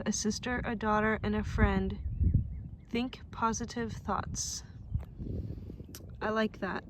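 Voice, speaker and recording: A woman's voice: on the high side, heavy background noise. A young woman from Russia, whose first language is Russian, chatting casually to her viewers while walking outdoors on a campus and laughing often. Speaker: vlogger. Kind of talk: casual vlog walk-and-talk.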